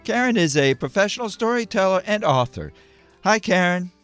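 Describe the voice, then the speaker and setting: A man's voice: high-pitched, lively intonation, normal speed, a bit bright, clear, resonant tone, soft background music. A man hosting a storytelling show, introducing his guest and the story in a friendly, announcer-like way. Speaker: radio show host.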